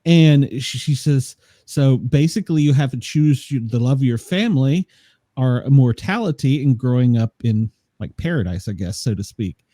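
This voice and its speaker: A man hosting a casual interview, chatty and quick to laugh. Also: mid-range voice, expressive, dark tone, dry recording, studio quality. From Washington, D.C.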